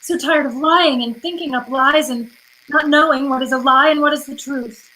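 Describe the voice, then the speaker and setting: A woman acts an alluring liar of a femme fatale in an old-time radio play. Her voice is high. She pleads with weary, melodramatic feeling. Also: normal speed, sharp tone, rough recording. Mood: weary, pleading.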